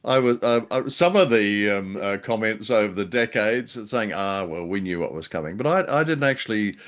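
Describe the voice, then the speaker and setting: Low-voiced, self-deprecating man podcast co-host. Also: expressive, normal speed. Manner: self-deprecating, hesitant, many ums and restarts. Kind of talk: casual review chat.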